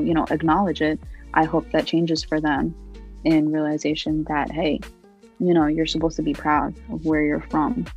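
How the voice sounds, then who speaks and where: A young woman with a low, husky voice speaks slowly and earnestly. She fills her answers with "you know" and keeps an even, steady tone. The recording sounds a little dull, with soft music underneath. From United States. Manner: thoughtful, earnest, many "you know" fillers. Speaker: interviewee, poet. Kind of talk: interview answers.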